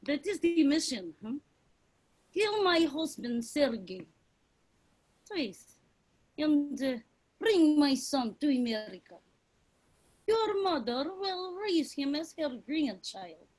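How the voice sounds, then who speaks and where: A woman playing a grave, wry spy in a staged reading, speaking haltingly and deliberately with weighty pauses. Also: sits high, big pitch swings, darkish tone, exaggerated voice, rough recording. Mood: pleading. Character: grave, wry woman spy planning her husband's killing.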